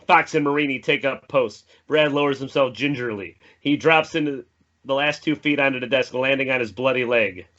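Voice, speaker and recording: Casting: male table-read narrator, mid-pitched, bright and forceful, slightly muffled home mic. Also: normal speed. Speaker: narrator reading stage directions.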